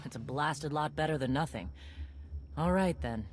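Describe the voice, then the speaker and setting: An actress playing a tough, blunt warrior commander with a dry, rallying delivery. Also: female voice, deep voice, animated, normal speed, music in the background.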